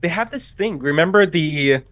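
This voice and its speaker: A man's voice: on the high side, expressive, normal speed, fairly bright tone, no room sound. A man taking part as a guest in a casual panel conversation. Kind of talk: casual chat.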